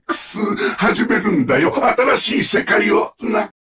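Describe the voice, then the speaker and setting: An actor playing a sadistic, mocking combat-robot villain in a Japanese video game, with sneering, theatrical, drawn-out taunts. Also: male voice, sits high, pushed and bright, recorded from a distance, heavily acted. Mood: gloating.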